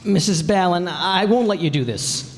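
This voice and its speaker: Man acting a high-voiced blunt radio detective. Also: normal speed. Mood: exasperated. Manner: pushy, sardonic, direct. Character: blunt, wry young insurance investigator hero. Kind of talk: old-time radio detective drama and narration. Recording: echoey hall stage sound.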